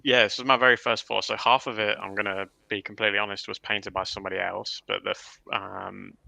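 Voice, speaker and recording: A man with a low, bright voice and plenty of fry talks casually about his hobby. He is self-deprecating and chatty. The sound is close and dry. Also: flat delivery, fairly quick, no echo, low-quality recording. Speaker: livestream panel member. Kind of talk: casual hobby chat about miniature wargaming.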